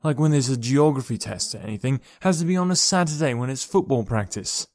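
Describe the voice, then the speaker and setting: A man's voice: mid-range voice, expressive, a little fast, a little mellow, no room sound, voice pushed up, natural voice. A man voicing a grumpy thirteen-year-old boy who talks in a cross, grumbling way.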